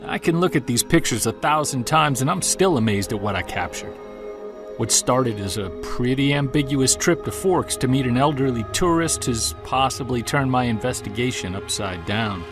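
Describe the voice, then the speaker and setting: An actor playing an earnest, driven middle-aged investigator in an audio drama, speaking intently. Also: male voice, mid-range voice, animated, normal speed, music in the background, natural voice. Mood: reflective, intent. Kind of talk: audio drama narration and dialogue.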